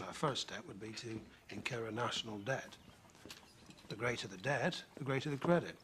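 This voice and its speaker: A man's voice: medium pitch, moderate pace, noisy background, natural voice. An actor playing an assured, ambitious young-republic statesman in a period film, with formal, precise and assertive delivery. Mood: assured persuasion. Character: assured, ambitious Treasury statesman of revolutionary-era America. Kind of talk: period film drama dialogue.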